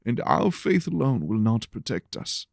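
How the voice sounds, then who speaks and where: A man voicing a grave, scholarly church rector who speaks formally and deliberately in an audio drama. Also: mid-range voice, very animated, creaky, dry recording, studio quality. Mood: grave, urgent.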